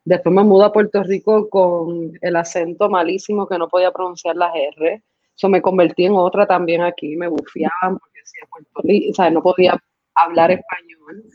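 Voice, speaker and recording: A woman's voice: on the low side, flat delivery, moderate pace, no room sound, muffled recording. A woman whose first language is English, born in Mexico and raised partly in the United States, telling her story as a guest in a casual conversation and slipping English words into her speech. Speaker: guest artist.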